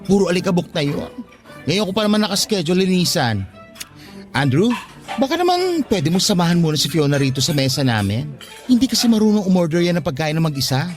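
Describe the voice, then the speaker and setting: A man's voice: high voice, sing-song, brisk, faint music behind. A man voicing a pushy, teasing female best friend in a radio drama. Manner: bossy, teasing.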